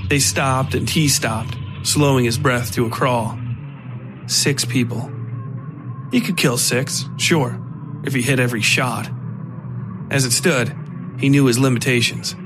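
Male narrator, medium pitch, scripted dark fiction, horror podcast storytelling, clear music underneath. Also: steady tone, measured pace, long pauses.

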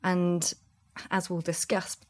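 A woman's voice: lowish voice, little pitch movement, slow. A British woman co-presenting a podcast in a chatty way, often ending statements with a tag question. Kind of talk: casual discussion of podcasting.

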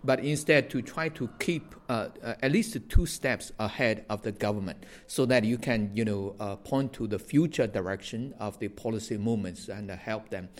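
A man's voice: lowish voice, moderate pace, few pauses. A man from China, an academic and government advisor, answering interview questions with frequent hesitations and filler sounds.

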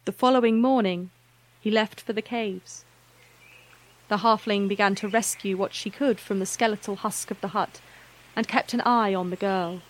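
A woman narrator reading a scripted fantasy tale in a measured, literary, wryly understated manner. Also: medium pitch, steady tone, a little hiss or hum.

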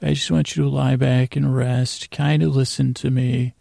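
A man with a heavily creaky, gravelly voice rambles through a bedtime podcast. His delivery is flat and droning, wandering off and circling back with halting repeats. The tone is dark and mellow. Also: mid-range voice, dry recording, clean studio sound. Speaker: podcast host.

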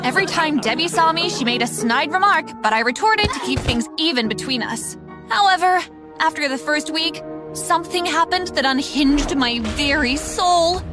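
A young woman narrates a story in the first person with dramatic, scripted delivery. Her voice is high, and music plays prominently behind it. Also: lively intonation, normal speed.

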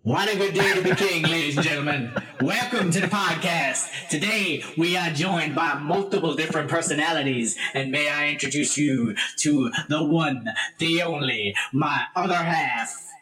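A man's voice: a little high, normal speed, hardly any pauses, sharp tone, distant mic, voice pushed up. A man voicing a mock-grand male announcer through a voice-changer app, introducing the show. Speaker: co-host. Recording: roomy with crowd noise behind.

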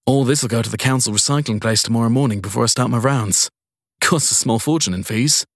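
A man's voice: medium pitch, fairly quick, no echo, clean studio sound, pitched above the performer's own voice, close to an everyday voice. A man voicing a weary, guarded courier in a crime audiobook, answering briefly and hesitantly to placate authority. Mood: guarded, casual. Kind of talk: audiobook crime story character voice.

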